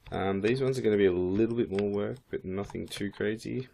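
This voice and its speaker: A man with a croaky voice, casually thinking aloud as he walks through a 3D modeling software tutorial. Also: lowish voice, brisk, slight background noise. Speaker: 3D modeling instructor. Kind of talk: screen-recorded software tutorial.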